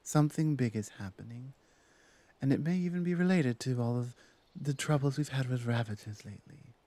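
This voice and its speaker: A woman playing a confident orc shaman in an ASMR roleplay, speaking in a measured, self-assured way. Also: very deep voice, warm tone, dry recording. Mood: grave. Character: confident orc shaman woman, the huntress's magic-wielding sister. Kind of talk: ASMR roleplay audio drama.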